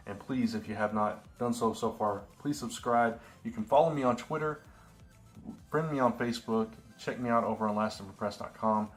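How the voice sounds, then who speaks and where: Hoarse young guy vlogging, lowish voice, rambles a lot, bit of music in the background. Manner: earnest, rambling, frequent fillers and restarts. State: slightly hoarse. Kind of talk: casual vlog talk to camera.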